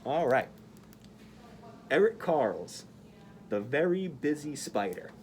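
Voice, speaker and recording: A storyteller reading a picture book aloud to children in a slow, animated way. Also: mid-range voice, slight background noise. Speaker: library story-time reader dressed as Spider-Man.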